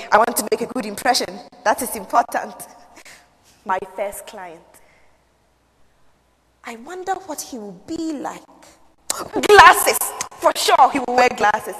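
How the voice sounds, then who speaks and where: A young Rwandan survivor, played by a woman, dreamy, proud and wistful. She speaks very slowly in a fairly high voice, with theatrical pauses and emphasis. It is a solo stage monologue, ringing through a reverberant hall. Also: animated. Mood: eager, nervous. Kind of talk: solo dramatic interpretation on stage. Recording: echoey hall.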